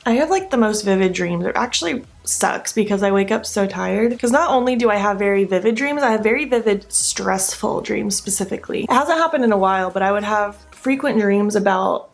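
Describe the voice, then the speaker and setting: A woman's voice: medium pitch, expressive, fairly quick, creaky, hardly any pauses. A young woman vlogger chatting casually while doing her makeup, sleepy-voiced and rambling with lots of filler likes. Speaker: beauty vlogger. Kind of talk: casual get-ready-with-me chat.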